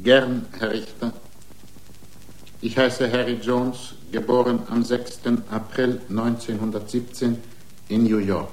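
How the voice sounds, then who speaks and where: An actor playing a proud, defiant racing driver on trial in a German radio drama, emphatic and combative. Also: male voice, medium pitch, little pitch movement, takes it slowly, a little mellow, natural voice, poor audio. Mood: polite, assertive. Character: proud, defiant male racing-driver defendant, indignant braggart.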